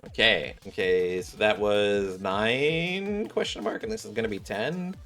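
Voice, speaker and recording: A man's voice: fairly low pitch, measured pace, a bit bright, faint music behind. A man streaming a game, reading its dialogue aloud and adding casual commentary.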